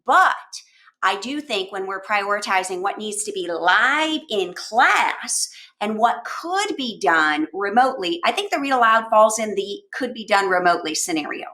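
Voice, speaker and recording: A woman giving conversational instructional advice to teachers in an emphatic, animated manner, often posing rhetorical questions. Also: medium pitch, normal speed. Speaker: education consultant answering teachers' questions. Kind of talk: conversational instructional talk to camera.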